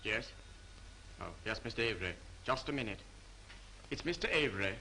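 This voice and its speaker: A man's voice: lowish voice, animated, moderate pace. An actor playing a hesitant, deferential male secretary, on a hissy 1930s soundtrack.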